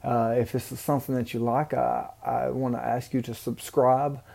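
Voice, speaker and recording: A man's voice: medium pitch, little pitch movement, normal speed, gravelly, dark tone. A man from Mississippi with a Southern accent, rambling through a casual solo podcast with many ums and restarts. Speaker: podcast host. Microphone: home microphone, amateur setup.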